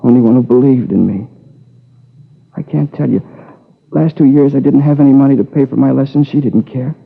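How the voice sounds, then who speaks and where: Anguished young male killer, gravelly husky voice, halting and defensive, 1950s radio drama, muffled old recording. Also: mid-range voice. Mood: pleading, wounded. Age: 20s. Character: tormented young man confessing to killing his lover.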